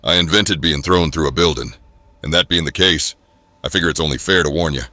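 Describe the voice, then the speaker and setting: A very deep, forceful comic book bruiser made of rock, big-hearted and cocky. He talks in New tough-guy slang with a gruff edge, over music. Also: a little fast, no room sound, heavily acted. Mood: cocky, combative. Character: gruff, wisecracking rock-skinned superhero brawler, big and good-hearted.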